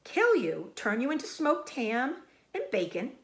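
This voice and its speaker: Woman as a loud, blunt old lady sheep. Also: a little high, very animated, measured pace, voice pushed up. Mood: bluntly gossiping. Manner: loud, blunt, matter-of-fact. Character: blunt, snappish old female sheep, world-weary busybody.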